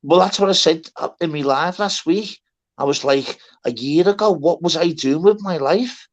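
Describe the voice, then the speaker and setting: A man's voice: fairly high pitch, normal speed. A man hosting a live stream panel, chatting casually and often calling people "lad". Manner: chatty, fond of saying "lad". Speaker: host.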